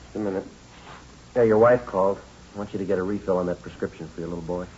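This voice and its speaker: An actor playing a terse, businesslike American police detective in a 1950s radio crime drama, with clipped, deadpan delivery. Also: male voice, sits low, fast talker, very noisy, natural voice. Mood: low-key, businesslike. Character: terse, businesslike male police detective, dryly matter-of-fact. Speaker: lead actor and narrator. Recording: muffled old radio recording, music behind.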